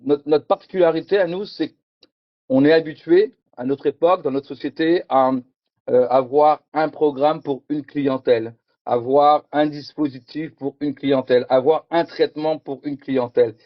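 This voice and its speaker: Middle-aged guy from france presenting slides, halting with lots of euhs, dry muffled sound. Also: on the high side, steady tone, no room sound. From France, Picardy. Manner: hesitant, many fillers and repeated words. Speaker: conference presenter.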